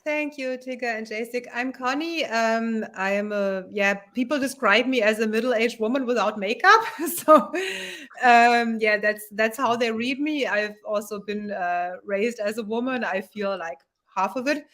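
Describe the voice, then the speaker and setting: A woman's voice: on the high side, measured pace, a bit bright. A woman in her forties with a German accent, speaking thoughtfully as a guest on a video call from her living room. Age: 40s. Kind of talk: casual video call talk. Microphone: webcam on video call.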